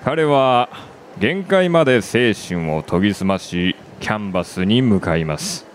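An actor playing a calm, imperious company chairman in a radio drama, measured and polite but haughty. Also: lowish voice, slight background noise. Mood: haughty composure. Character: calm, imperious male company chairman obsessed with human extremes. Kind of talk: Japanese radio drama acting.

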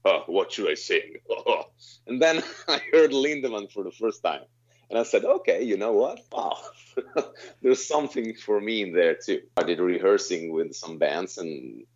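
Man, 30s, very deep low voice, halting and self-correcting, muffled lo-fi sound. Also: animated. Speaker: guest vocalist. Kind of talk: casual interview answers. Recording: slightly dull lo-fi sound.

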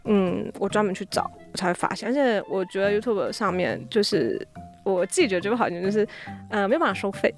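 Taiwanese woman guest on a podcast, talks fast and rambly, pitch bounces around a lot. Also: expressive, gravelly, soft background music. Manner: rambling, circles back and repeats phrases. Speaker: podcast guest, audio drama app founder. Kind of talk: casual interview chat.

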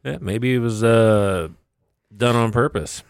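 A man's voice: medium pitch, takes it slowly, creaky, clear, resonant tone, dry recording, studio quality. A man co-hosting a podcast, joking bluntly and swearing in casual chat. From United States. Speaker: podcast co-host.

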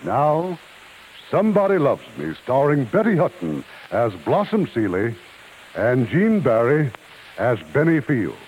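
A man's voice: medium pitch, big pitch swings, measured pace. A man speaking as the host and producer of a 1950s radio theatre, introducing the evening's play in scripted announcer style. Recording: hissy old 1950s radio broadcast.

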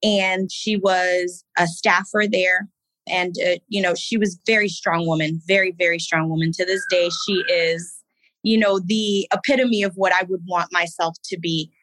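A woman's voice: lowish voice, steady tone, no room sound, poor audio. Reflective woman from Haiti, dry sound. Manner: unhurried, reflective, with fillers and soft laughs. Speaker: guest, business owner and Navy veteran. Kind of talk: casual interview talk.

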